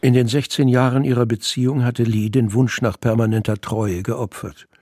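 Husky male story narrator, close and dry. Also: mid-range voice, fairly even intonation, moderate pace, darkish tone, no room sound. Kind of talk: scripted fiction narration for audio drama.

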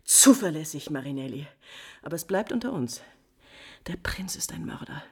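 A woman's voice: deep voice, expressive, normal speed, slightly rough, full character voice. A woman playing a proud, scorned countess in 1950s German radio drama, bitterly mocking and agitated, moving between whispers and outcries. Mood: frenzied exultation. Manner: theatrical, swinging from whispers to outcries.

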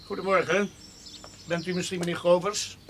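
A man's voice: high-pitched, fairly even intonation, normal speed, slight background noise. A man playing a formal government inspector in a radio drama, stiffly polite and officious. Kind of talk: Dutch radio drama acting.

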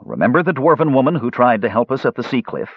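A man's voice: medium pitch, sing-song, fast, slightly rough, dry recording, voice pushed up. A man acts out a stern, humorless half-elf in a fantasy audiobook. He is terse and protective, with serious delivery. The sound is slightly dull. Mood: subdued. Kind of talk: audiobook character voice.

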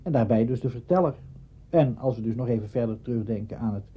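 Very husky man explaining thoughtfully. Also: medium pitch, fast talker. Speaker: interviewee. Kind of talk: explanatory interview talk. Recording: dull archival interview recording.

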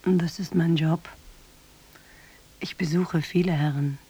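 Give me a dark-voiced, laconic call girl. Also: sits low, slow, breathy edge, full character voice. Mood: guarded, laconic. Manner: deadpan, laconic. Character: matter-of-fact female call girl, unsentimental and discreet. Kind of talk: German radio drama acting.

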